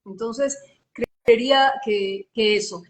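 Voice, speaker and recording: A woman's voice: on the high side, slow. A woman who works as a voice-over artist, giving advice on a live video call in an emphatic, teacherly way, repeating phrases and speaking with a slight sing-song lilt.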